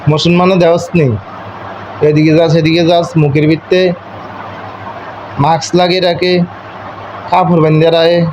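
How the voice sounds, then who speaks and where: Young man, high voice, eastern Bengali dialect, domineering, repetitive, muffled call recording. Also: flat delivery, measured pace, pauses often, darkish tone, slight background noise. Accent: eastern Bengali regional dialect. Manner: insistent, repetitive, domineering lecturing. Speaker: husband on a call with his wife. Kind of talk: informal domestic argument on a phone call.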